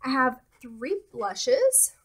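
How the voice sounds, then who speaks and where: A young woman with a somewhat high voice talks through her beauty products in a loose, casual way, trailing off into asides and fillers. Her nose sounds a little stuffy. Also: very slow, noisy background. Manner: relaxed, hesitant, many fillers and trailing asides. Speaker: beauty vlogger. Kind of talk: casual product talk to camera.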